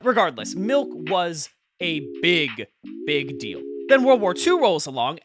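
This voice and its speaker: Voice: medium pitch, creaky. A performer playing an earnest, wry conspiracy podcast host, delivering a conversational, mock-serious, emphatic monologue. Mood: animated, skeptical.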